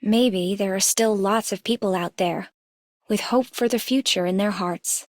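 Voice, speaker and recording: An actress voicing a reserved, thoughtful female mercenary in a video game, measured and reflective. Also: medium pitch, darkish tone, dry recording, clean studio sound, natural voice. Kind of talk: scripted game character voice lines.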